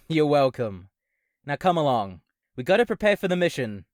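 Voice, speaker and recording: A woman's voice: low voice, big pitch swings, normal speed, a little mellow, no room sound, studio quality. A woman playing a smug, teasing robot companion in an audio drama, with a playful, taunting manner.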